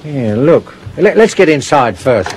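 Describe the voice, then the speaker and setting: A man's voice: fairly high pitch, big pitch swings, a little slow, noisy background. An actor playing a wry, teasing working-class father in 1950s British radio comedy. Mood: puzzled, reassuring. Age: middle-aged. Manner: dry, teasing.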